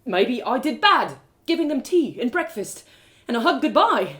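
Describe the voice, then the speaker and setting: A woman puts on an earnest young storybook man weighed down by guilt. He pleads in halting phrases, repeating himself, with a lively rise and fall. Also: sits high, slight background noise, voice pushed up. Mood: pleading, anguished.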